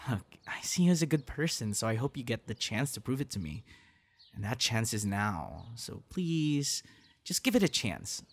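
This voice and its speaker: Man acting a friendly, coaxing young guy. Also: medium pitch. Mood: earnest, pleading. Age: young adult. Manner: earnest, coaxing, sighs and breathy reactions. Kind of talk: ASMR audio roleplay, one-sided dialogue to listener.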